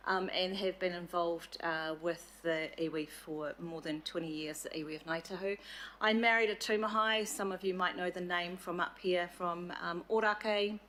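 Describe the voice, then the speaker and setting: Nz woman, deputy chair at a council meeting, lowish flat voice, lots of ums. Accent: New Zealand. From New Zealand. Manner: hesitant, many fillers. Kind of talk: formal committee address.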